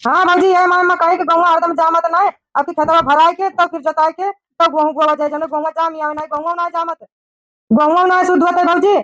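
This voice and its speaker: A woman plays a young village brother-in-law in a cartoon comedy. The voice is very high and recorded dry, with no room around it. He chats and explains helpfully in an Awadhi accent. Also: steady tone, moderate pace, fairly bright tone, no room sound. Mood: explaining.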